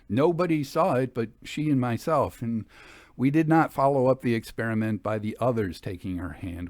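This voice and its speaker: A man reading a Victorian ghost story as scripted narration, in a measured storytelling delivery. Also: mid-range voice, darkish tone, no echo. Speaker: audiobook reader.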